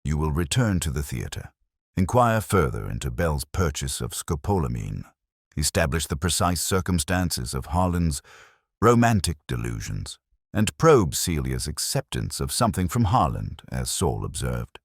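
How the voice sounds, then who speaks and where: A man voicing a ponderous, commanding genius detective in an audiobook, speaking in a deliberate, formal, pompous manner. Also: extremely low pitch, very animated, gravelly, no echo, studio quality, full character voice. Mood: measured, authoritative.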